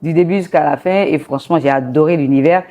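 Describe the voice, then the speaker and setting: A young woman from Côte d'Ivoire chats as an interview guest, lively and laughing often. Her voice sits a little low and sounds mellow and warm. She talks in an easygoing, animated way. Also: fast talker. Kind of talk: casual interview chat.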